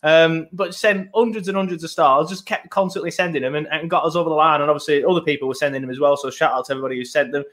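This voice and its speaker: Chatty podcast host, higher voice. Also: male voice, steady tone, racing, hardly any pauses, fairly bright tone. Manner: chatty, rambling with many ums. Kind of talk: casual football podcast chat. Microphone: laptop setup, video podcast.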